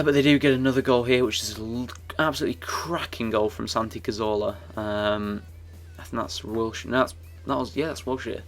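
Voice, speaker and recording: A young man talks through his football video game match in a loose, rambling way, full of fillers and restarts. His voice sits low and stays fairly flat, with a croaky, gravelly edge. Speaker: gaming YouTuber. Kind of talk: casual game commentary.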